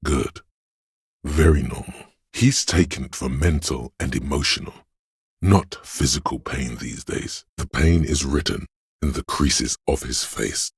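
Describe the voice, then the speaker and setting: Super deep raspy game voice of pain itself, grim n sardonic, talks right at you, really dry close sound. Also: male voice, measured pace, no echo, heavily acted. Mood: wry, grim. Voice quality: gravelly, husky. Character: inner voice of pain, grim, wry, menacingly intimate. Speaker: video game voice actor. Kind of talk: scripted second-person game narration.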